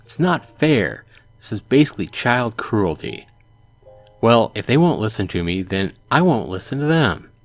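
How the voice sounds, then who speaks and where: Man, let's play host narrating a visual novel, low voice, dry studio-close sound, faint music. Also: big pitch swings, normal speed, no room sound. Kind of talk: reading a visual novel aloud with asides.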